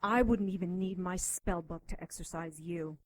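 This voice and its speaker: An actress playing a tough, sardonic occultist doctor in an audio drama, commanding and quick to threaten. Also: female voice, fairly low pitch, lightly acted.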